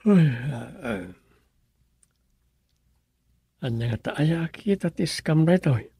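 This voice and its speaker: An actor playing a pensive story writer who mutters and muses to himself in a radio comedy drama. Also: male voice, medium pitch, warm tone, breathy edge, no room sound, studio quality. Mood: grumbling.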